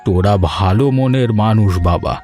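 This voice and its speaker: A man's voice: on the low side, moderate pace, darkish tone, natural voice. A man playing an old Bengali village father-in-law, music underneath. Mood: gently protesting. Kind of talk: animated folk story dialogue.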